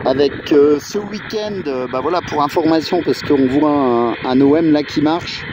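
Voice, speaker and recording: Male radio hobbyist, slightly high voice, rambling hesitantly outdoors, clear background noise. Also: normal speed. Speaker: amateur radio operator. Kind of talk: casual radio chat and outdoor video commentary. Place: outdoors on a mountain viewpoint. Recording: noisy outdoor recording with radio sounds.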